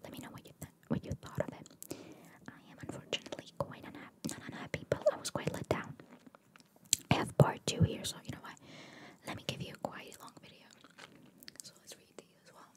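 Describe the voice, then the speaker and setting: A woman speaks entirely in a soft, breathy whisper with no voiced tone. She delivers ASMR in an informal, chatty way, moving between reading aloud and casual asides. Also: brisk. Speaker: ASMR creator.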